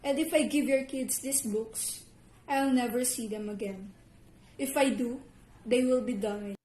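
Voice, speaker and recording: A cynical, dismissive school official, acted by a woman in an amateur play. The voice is high but soft-edged and dark, in an echoey room with some noise behind it. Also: measured pace, lightly acted. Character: cynical, dismissive school librarian or administrator guarding her books. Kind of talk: amateur one-act play acting.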